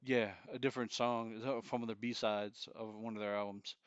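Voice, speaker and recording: 40s guy cohosting a podcast, cussing and joking around, smooth clear voice. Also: fairly low pitch, fairly even intonation, normal speed, no echo, lo-fi sound. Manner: profane, joking banter. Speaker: podcast co-host. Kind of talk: casual chat.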